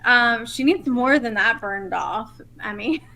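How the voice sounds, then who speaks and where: A woman's voice: a little high, lively intonation, a little slow, pushed and bright, rough recording. A woman co-hosting a live show in casual, conversational chat. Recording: faint background noise.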